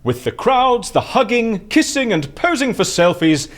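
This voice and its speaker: An actor playing an excitable, easily exasperated man who masterminds schemes, with an emphatic, theatrical delivery in an audio drama. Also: high voice, measured pace. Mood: excited. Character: excitable, exasperated male scheme-master plotting a staged assassination.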